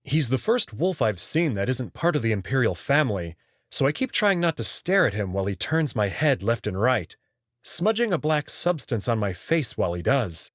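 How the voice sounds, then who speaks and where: A man's voice: mid-range voice, big pitch swings, moderate pace, a bit bright, no echo, studio quality. A man narrating a scripted story expressively as the host of a visual novel reading channel.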